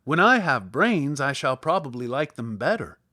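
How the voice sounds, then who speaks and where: Man as a cheerful, animated living scarecrow. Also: medium pitch, normal speed, no room sound, clean studio sound. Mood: unsure. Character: cheerful, grateful, simple male living scarecrow.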